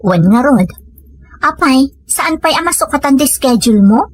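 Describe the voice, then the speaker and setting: A woman's voice: high-pitched, lively intonation, normal speed, no room sound. A Filipino woman acting a hardworking village family woman in an Ilocano audio comedy drama. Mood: earnest, concerned.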